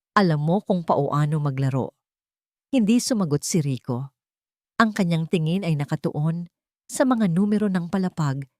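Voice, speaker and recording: A woman narrating a scripted love story in a measured, dramatic reading style. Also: on the low side, darkish tone, no echo, clean studio sound.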